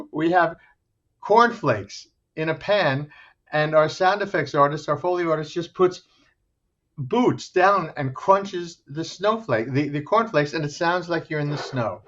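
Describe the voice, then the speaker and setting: Higher-pitched man, slightly muffled recording. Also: lively intonation, measured pace, fairly bright tone. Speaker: theater artistic director, interview guest. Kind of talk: enthusiastic interview talk promoting a show. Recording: dull, muffled lo-fi sound.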